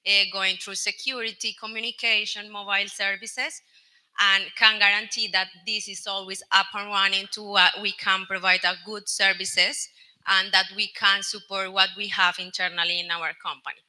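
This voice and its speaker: A woman from Colombia speaks on a business panel with a clear Colombian accent, choosing her English words carefully. Her voice is very bright and she keeps an even, flat melody. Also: mid-range voice, normal speed, low-quality recording. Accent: Colombian Spanish. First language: Spanish. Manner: careful non-native English with hesitations. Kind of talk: panel discussion answer.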